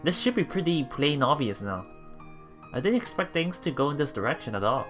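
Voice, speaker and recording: Male let's play host, bright mid-range voice, halting read-aloud with casual commentary, soft music behind. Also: on the high side, big pitch swings. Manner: halting, rereads and repeats words. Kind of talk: reading game text aloud with casual reactions. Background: game music.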